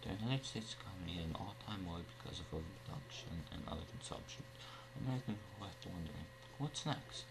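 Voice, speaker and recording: A man's voice: deep voice, fairly quick, creaky, very noisy. A man reading scripted newsreel narration as the narrator.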